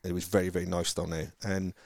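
A man from Wales with a Welsh accent, chatting casually about his sales career in a halting, rambling way, with many restarts. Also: low-pitched, level tone, vocal fry, dry recording. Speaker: car salesman guest. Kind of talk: casual conversation.

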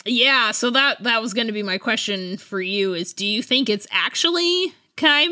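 A woman's voice: a little high, lively intonation, moderate pace, sharp tone, no echo, studio quality. A woman co-hosting a podcast, talking casually about books and thinking aloud in a tentative way. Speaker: podcast co-host. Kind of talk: casual book discussion.

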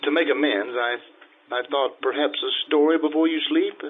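Tinny old-radio actor as vain aging Casanova. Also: male voice, fairly high pitch, fairly even intonation, normal speed. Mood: wounded pride. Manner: florid, theatrical, self-admiring. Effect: old-time radio filter. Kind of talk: old-time radio drama acting. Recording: tinny vintage radio sound with music.

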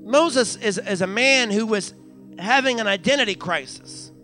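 A young pastor preaches to his congregation in a church sanctuary. His voice sits high and sounds bright and forceful, with soft music under him. He repeats lines for emphasis and drops in hallelujahs as he builds. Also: normal speed. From United States. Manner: preaching with frequent hallelujahs and call-outs to the congregation; repeats phrases for emphasis, building to raised climaxes. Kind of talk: live church sermon. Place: church sanctuary during a live service.

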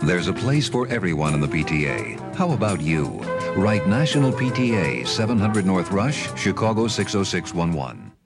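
A man's voice: sits low, sing-song, moderate pace, few pauses, over loud music. A man reading a scripted public service announcement for radio.

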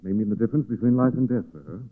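An actor playing a calm, protective amateur sleuth, composed and earnest in 1940s radio style. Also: male voice, medium pitch, rapid-fire, close to an everyday voice. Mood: gentle, earnest. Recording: tinny narrow-band 1940s radio recording.

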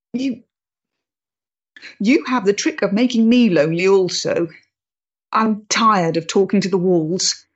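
A woman's voice: medium pitch, darkish tone, husky, dull audio. An actress playing a proud, intense, troubled freethinking woman of the 1890s in a period stage drama, speaking emphatically and articulately. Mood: tormented.

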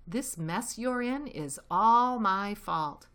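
A woman's voice: mid-range voice, animated, slow, fairly bright tone, pitched above the performer's own voice. Storybook fox, acted by a woman: sly father, confident, grandly polite, relishes his phrases. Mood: contrite.

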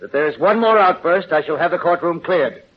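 An actor playing a formal, deliberate courtroom judge in a vintage radio drama. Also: male voice, on the high side, animated. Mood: stern. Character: measured, patient, authoritative male courtroom judge. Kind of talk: 1930s-40s radio drama acting. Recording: muffled, noisy old radio recording.

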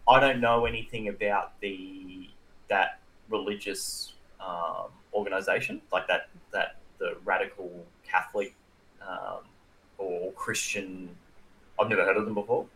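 An Australian man with a slightly low voice chats casually as a podcast co-host. His delivery is halting and careful, restarting as he works out how to phrase things. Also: steady tone, fairly bright tone, a little hiss or hum, low-quality recording. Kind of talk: casual conversational discussion.

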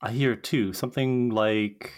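A woman reading the part of an eager but anxious young witch in a podcast script table read, expressive and pleading. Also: a bass voice, normal speed. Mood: nervous, serious.

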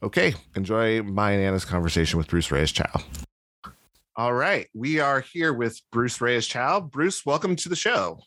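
A man's voice: mid-range voice, expressive, normal speed, fairly bright tone, no echo. A man hosting a podcast, speaking in a conversational interviewing style. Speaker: podcast host.

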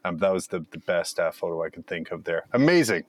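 A man's voice: deep voice, animated, quick pace, slight background noise. A man hosting a casual chat, joking and reading from chat. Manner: joking, reads chat aloud. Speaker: host.

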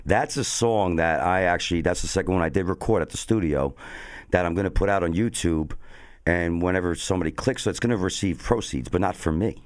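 A man with a raspy voice, a country singer speaking as a guest in a casual interview. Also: sits low, brisk.

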